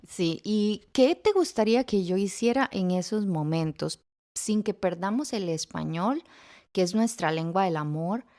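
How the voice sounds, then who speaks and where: A woman hosting a podcast interview, gently prompting her child and offering words when she gets stuck. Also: mid-range voice, animated, unhurried. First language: Spanish. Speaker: podcast host, mother.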